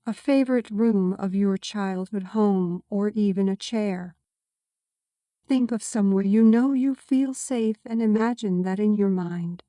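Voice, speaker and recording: A woman reading a scripted guided meditation as a guide, giving soothing, measured instructions. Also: medium pitch, mellow, dry recording.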